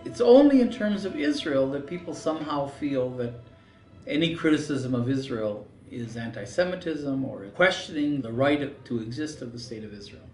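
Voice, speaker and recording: A man's voice: medium pitch, expressive, moderate pace, darkish tone. Man, documentary interviewee, emphatic and critical; roomy distant sound, faint music bed. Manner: critical, emphatic, rises sharply when mimicking others.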